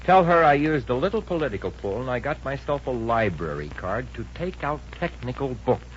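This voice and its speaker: Casting: sardonic young American boyfriend, male actor, dry and huffy yet very expressive, muffled vintage radio comedy. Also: mid-range voice, brisk, close to an everyday voice. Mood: sulky. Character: sulky, sarcastic young male engineer boyfriend. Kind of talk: 1950s radio comedy acting. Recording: dull, hissy old radio broadcast.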